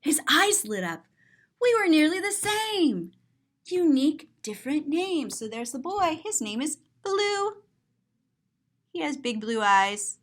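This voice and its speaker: A woman with a fairly high, very animated voice reads a picture book to young children. She takes her time and pauses to explain things simply, like a teacher at story time.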